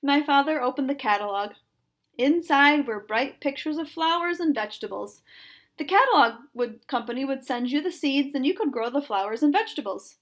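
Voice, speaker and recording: A woman's voice: sits high, animated, moderate pace, a bit bright. A woman reading a children's story aloud in a narrating style. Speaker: storybook reader.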